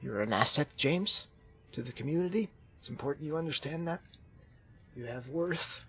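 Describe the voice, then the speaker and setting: A man roleplaying a kindly, babbling zombie apocalypse survivor in an online game, speaking haltingly and earnestly. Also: on the high side, fairly bright tone, husky, full character voice. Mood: reassuring. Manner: halting, earnest, folksy, repeats himself.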